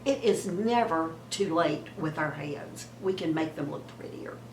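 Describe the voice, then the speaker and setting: A woman in her seventies, hoarse from a cold, giving chatty beauty advice to her viewers and often repeating herself. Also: low-pitched, expressive, measured pace, echoey room, a little hiss or hum, poor audio. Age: 70s. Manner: warm, chatty, repeats words and trails off. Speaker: beauty vlogger.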